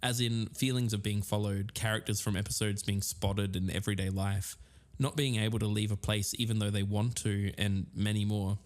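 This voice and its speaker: Actor as amiable guy co-hosting a spooky mystery podcast, lowish voice, chatty documentary storytelling. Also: steady tone, normal speed, lightly acted. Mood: casual. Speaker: podcast co-host. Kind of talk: conversational documentary podcast narration.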